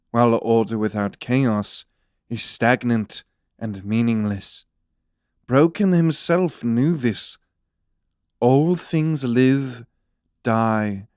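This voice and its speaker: Imperious, cryptic empire minister male actor, solemn and unhurried, resonant clean tone, fantasy radio play. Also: mid-range voice, a little mellow, clean tone, dry recording, clean studio sound, natural voice. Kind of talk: fantasy audio drama acting.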